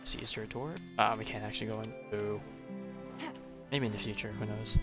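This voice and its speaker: A man's voice: on the low side, fairly even intonation, fairly quick. A man livestreaming a game, giving casual, laid-back commentary and musing to himself. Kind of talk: casual play-along commentary. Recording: slightly dull sound, faint game music.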